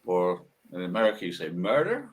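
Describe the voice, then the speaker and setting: A man in his sixties giving a casual, rambling monologue, musing haltingly and asking rhetorical questions. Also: low-pitched, wildly swooping pitch, crowd noise. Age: 60s. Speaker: video monologue host.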